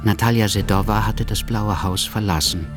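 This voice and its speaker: A man narrates a radio drama from a script. His voice sits fairly low, and faint music plays underneath. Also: moderate pace.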